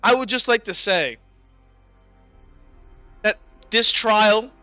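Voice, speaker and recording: A man's voice: high voice, takes it slowly, sharp tone, clean tone, no echo, highs cut off, faint music behind, exaggerated voice. A man voicing a stern female judge in a tabletop game, speaking in a solemn, commanding way. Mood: grave. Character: stern female judge passing a death sentence.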